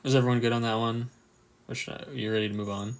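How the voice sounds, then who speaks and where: A man with a croaky, just-woken-up voice runs a casual live quiz for anatomy students, reading answer options and cheering on viewers' replies. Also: medium pitch, steady tone, fast talker. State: just woke up, a bit tired. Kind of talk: casual livestream quiz review.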